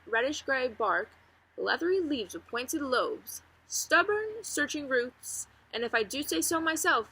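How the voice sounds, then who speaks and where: A woman's voice: on the high side, bright, forceful tone, slightly rough, heavily acted, poor audio. A woman voicing a friendly, proud oak tree with wry, chatty humour in a children's storybook read-aloud. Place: outdoors among trees. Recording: outdoor ambient noise, faint birdsong.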